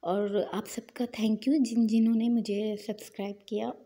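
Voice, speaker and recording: Mellow-voiced woman chatting through her day. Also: fairly high pitch, flat delivery. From Pakistan. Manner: chatty, unhurried, with small fillers and pious asides. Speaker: vlogger. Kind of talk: casual voice-over narration of her day.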